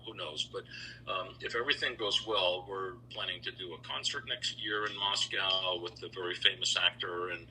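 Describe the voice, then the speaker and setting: Middle-aged guy chatting on a video call, rambly with lots of ums, really bright lower voice, faint hum behind. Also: low-quality recording. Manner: relaxed, rambling, with many fillers and restarts. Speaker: interview guest, founder of a choral institute. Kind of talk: casual storytelling interview.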